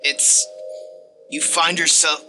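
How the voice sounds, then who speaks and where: A man voicing a hateful, condescending machine-like game narrator, delivered as a dramatic reading with deliberate disdain. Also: on the high side, little pitch movement, sharp tone, pitched above the performer's own voice, exaggerated voice. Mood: faltering, defeated. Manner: dramatic, disdainful, deliberate second-person address. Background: music.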